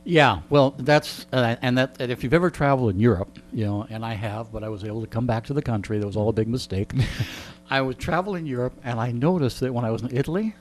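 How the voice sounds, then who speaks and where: A man's voice: mid-range voice, fast talker. A man being interviewed in a radio studio, telling stories in a casual, joking, rambling way. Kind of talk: casual anecdotal storytelling. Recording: faint mains hum.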